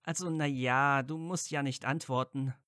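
A man's voice: mid-range voice, fairly even intonation, normal speed, sharp tone, clear, resonant tone, no echo, studio quality, lightly acted. A man playing an earnest, loyal, easily flustered young wizard friend in an audio drama. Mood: flustered, curious. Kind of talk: fan fiction audio drama dialogue.

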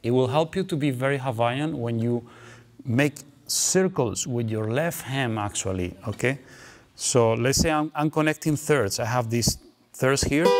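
A man, explaining guitar technique in a relaxed, chatty way, often ending phrases with "okay" and "you know". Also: mid-range voice. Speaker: guitar teacher. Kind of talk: instructional explanation between playing. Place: dark studio, seated with guitar on lap. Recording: long reverb, guitar playing between phrases.

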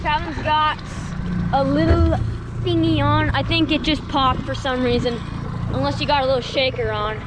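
Teen boy vlogging from a fishing boat, high voice, says guys a lot, loud engine and water behind him. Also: fairly even intonation, normal speed, heavy background noise. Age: teens. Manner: chatty, keeps addressing viewers as guys. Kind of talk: casual on-camera fishing vlog. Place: small open boat on foggy open water. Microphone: action camera on the boat.

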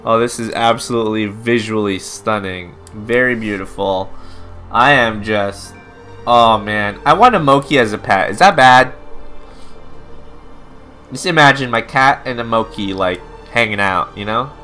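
Male gaming YouTuber: slow, bright delivery, emotional casual reactions. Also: fairly low pitch, faint music behind. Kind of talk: casual let's play commentary.

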